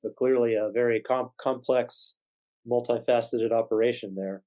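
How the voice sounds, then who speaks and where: A man hosting an interview, asking relaxed, conversational questions. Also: medium pitch, moderate pace. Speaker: podcast host.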